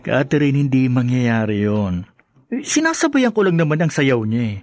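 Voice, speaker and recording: A smug scheming friend. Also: male voice, mid-range voice, very animated, unhurried, no room sound. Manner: smug, dismissive. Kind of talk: radio drama acting.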